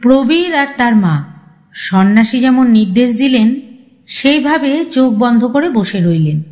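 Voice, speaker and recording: A woman narrates a horror story in a dramatic, scripted style. Her voice sits fairly high and she takes her time with each phrase. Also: animated, a little slow, darkish tone.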